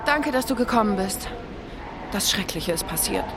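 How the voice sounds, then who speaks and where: A woman's voice: medium pitch, lively intonation, moderate pace, gravelly, background noise. An actress playing a lovelorn, guilt-ridden, melodramatic woman who talks in an agitated, theatrical way in an audio drama. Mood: lovesick, upset. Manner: agitated, theatrical, self-accusing. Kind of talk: audio drama dialogue acting.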